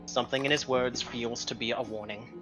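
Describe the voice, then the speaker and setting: A man talking as a player in a tabletop game, casual but deliberate and precise. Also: medium pitch, steady tone, fairly bright tone, faint music behind, rough recording. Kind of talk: casual table talk, reading out own drafts.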